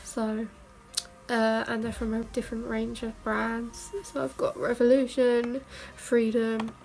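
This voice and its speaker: A young woman, a beauty vlogger, chatting casually through a makeup test in her bedroom; she sounds croaky and stuffed up from a cold and rambles with self-mocking asides. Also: on the high side, flat delivery, takes it slowly, darkish tone. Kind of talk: casual makeup tutorial chat. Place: bedroom, indoors. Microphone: camera mic at arm's length.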